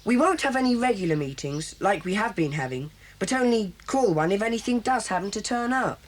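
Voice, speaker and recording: A woman voicing a bossy young schoolboy who leads a children's club in an 1980s audio drama, lively and brisk. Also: mid-range voice, natural voice. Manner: brisk, bossy, takes charge.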